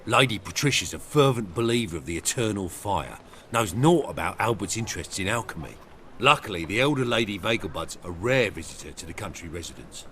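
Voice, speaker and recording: A man's voice: lowish voice, animated, fairly quick, sharp tone, slight background noise. An actor playing a meek, deferential valet to a noble lady in a video game, with a servile, folksy way of talking. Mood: deferential, regretful. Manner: servile, folksy, dropped words. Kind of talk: video game dialogue acting.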